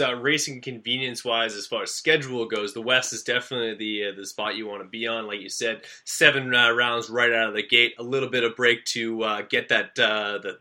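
A Canadian man hosting a motocross podcast and interviewing a guest, energetic but full of uh fillers and restarts. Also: medium pitch. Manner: energetic, hesitant, many uh fillers. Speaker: podcast host.

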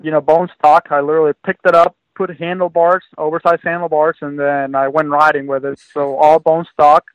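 A young man from Venezuela, heard over a dull, muffled line with a dry sound and a slightly higher voice. He speaks as a guest in an interview, relaxed and easygoing, with frequent fillers. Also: brisk, hardly any pauses, no echo. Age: 20s. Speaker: guest, professional motocross racer. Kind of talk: casual interview.

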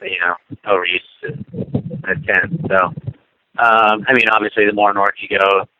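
A man's voice: fairly low pitch, moderate pace, no echo. Man on a tinny phone line. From United States. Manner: relaxed, rambling, many fillers. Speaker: motocross racer guest. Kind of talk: casual chat over the phone.

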